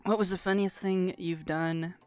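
A streamer chatting casually and reading viewer questions aloud, then answering offhandedly in a halting way. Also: medium pitch, fairly even intonation, clean tone. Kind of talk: casual livestream chat, answering viewer comments. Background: background music.

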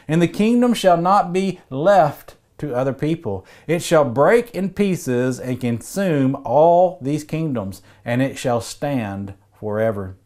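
A man's voice: a little high, darkish tone. Earnest man reading scripture aloud. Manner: measured, earnest reading of Bible verses. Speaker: preacher. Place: indoor study with bookshelves.

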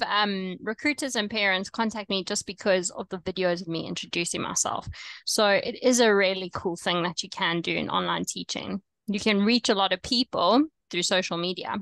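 A young woman with a South African accent, coaching online teachers over a video call in a relaxed, chatty way with frequent ums. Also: mid-range voice, normal speed, vocal fry. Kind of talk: webinar presentation.

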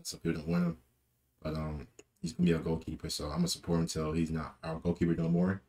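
A man's voice: sits low, animated, quick pace, creaky, mellow, a little hiss or hum. A young man giving a casual, rambling post-match football reaction, full of fillers and repeated words, from a small room. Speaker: football fan vlogger.

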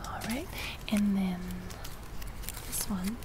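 A young woman voicing a calm, kindly witch in a soft-spoken ASMR roleplay, measured and reassuring. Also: medium pitch, background noise, natural voice. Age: young adult. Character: calm, kindly witch casting a sending spell. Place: outdoor garden.

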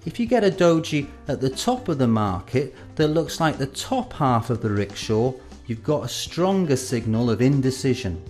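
A man in his forties narrates an educational film at an average pitch. His delivery is scripted and measured, placing deliberate pauses for emphasis. Music plays behind his voice. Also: sing-song, a little mellow. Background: background music.

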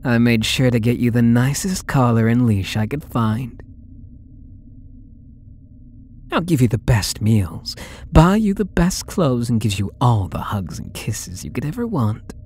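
A man's voice: medium pitch, big pitch swings, a little mellow, a little hiss or hum, lightly acted. A young man playing a possessive, sweetly menacing male stalker in an ASMR roleplay audio drama, with a taunting, coaxing manner. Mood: tender, possessive. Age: young adult. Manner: slow, taunting, coaxing delivery.

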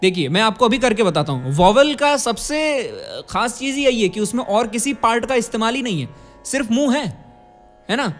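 A man's voice: extremely high pitch, expressive, normal speed, fairly bright tone. A man in his twenties with an Indian accent, teaching vocal music in an explanatory way, demonstrating sounds and checking in with tag questions. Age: 20s. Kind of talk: instructional talk on singing and diction. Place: indoor curtained studio. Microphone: studio condenser microphone close in front.

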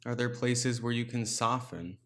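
A man leading a guided meditation, speaking calmly and without hurry, leaving pauses between short instructions. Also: medium pitch. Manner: calm, unhurried instruction with pauses. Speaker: meditation teacher.

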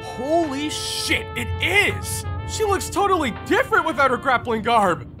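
Comic-dub wrestling fanboy acted by a man: excitable, gushing, savoring words slowly with animated intonation. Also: very high-pitched, music in the background, exaggerated voice. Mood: thrilled. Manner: gushing, enthusiastic. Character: starstruck, excitable male wrestling fan. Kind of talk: comic dub character acting.

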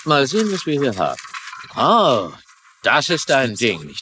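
A man's voice: mid-range voice, huge pitch swings, a little slow, pushed and bright, a little hiss or hum, exaggerated voice. A man playing a sarcastic police lieutenant questioning people in a dubbed TV crime drama. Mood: sardonic. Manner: sardonic, interrogating. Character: gruff, sarcastic middle-aged male police lieutenant.

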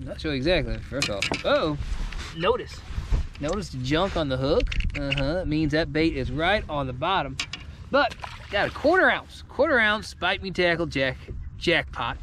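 A middle-aged man hosting a casual fishing vlog from a boat on a lake, folksy and excitable, picked up by an action camera. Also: a little high, normal speed. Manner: excited, folksy, exclaims at catches. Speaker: fishing video host. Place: on a bass boat on a lake outdoors. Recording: outdoor wind and boat noise.

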